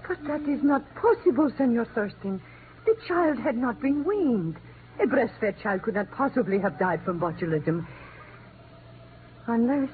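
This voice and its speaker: A woman's voice: fairly high pitch, animated, a little slow. An actress playing an earnest, defensive South woman in a 1950s radio drama. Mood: earnest, protesting. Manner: formal, earnest. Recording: noisy, muffled 1950s radio recording.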